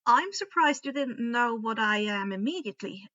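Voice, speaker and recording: A woman voicing a huffy, exasperated teenage boy who is secretly a dark creature, in an indignant, matter-of-fact way. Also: a little high, expressive, moderate pace, muffled recording. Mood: awkward, dry.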